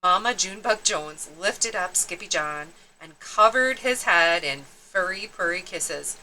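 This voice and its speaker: A woman's voice: fairly low pitch, expressive, a little slow, sharp tone, a little hiss or hum, rough recording. A woman narrating a children's storybook expressively, with theatrical, dramatic emphasis.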